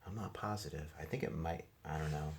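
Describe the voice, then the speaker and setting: A man's voice: very deep voice, brisk, creaky, a little hiss or hum. A man working as a teacher, reading a picture book to young children and commenting casually in simple explanations. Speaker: teacher reading aloud to first grade class.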